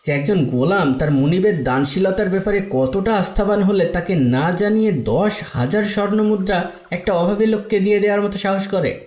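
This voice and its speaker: A high-pitched male actor's cartoon traveller, heard with strong room echo. He is needy yet earnest and persuasive, telling a moral tale. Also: fairly quick, a little mellow, close to an everyday voice. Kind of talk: Islamic moral story cartoon dialogue. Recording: echoey, reverberant room sound.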